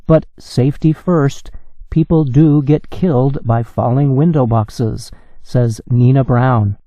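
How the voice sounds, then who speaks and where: A man reading a news article in a measured, evenly paced way for English learners. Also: mid-range voice, warm tone, no echo, lo-fi sound. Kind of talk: slow, clear scripted reading.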